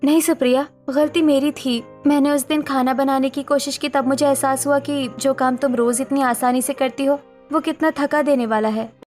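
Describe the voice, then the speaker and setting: A self-assured working daughter-in-law in a cartoon family story played by a woman. She sounds firm and earnest, high in pitch, with soft music behind. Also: steady tone, normal speed, natural voice. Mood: sincere, supportive.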